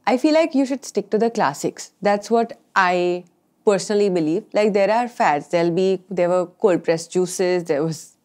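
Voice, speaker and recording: A young woman chef and cafe owner answering interview questions in a relaxed, thoughtful way. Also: medium pitch, moderate pace. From India.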